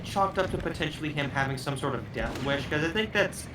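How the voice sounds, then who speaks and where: A man's voice: a little high, expressive, brisk. A man chatting casually and jokily as a guest on a game stream over a voice call. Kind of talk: casual co-commentary chat. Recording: distant mic, clear background noise.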